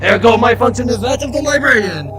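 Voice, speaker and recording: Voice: medium pitch, expressive, fairly quick, close to an everyday voice. A performer voicing a pompous, formal artificial intelligence archivist in a comedic sci-fi audio drama. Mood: imperious. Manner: pompous, formal, declamatory, self-announcing. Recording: loud dramatic music under the voice.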